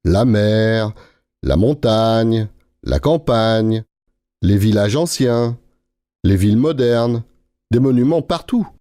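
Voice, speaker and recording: A man with a mid-pitched, relaxed voice plays his part in a learner dialogue. He explains things clearly and steadily. The recording is dry and close. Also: slow, pauses often, dry recording.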